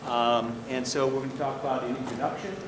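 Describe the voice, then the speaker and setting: Loud-voiced middle-aged man presenting. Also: medium pitch, steady tone, quick pace, very noisy. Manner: loud-voiced, with frequent "um" and "okay?" check-ins. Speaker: wellness program presenter. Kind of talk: business presentation. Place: school district meeting room.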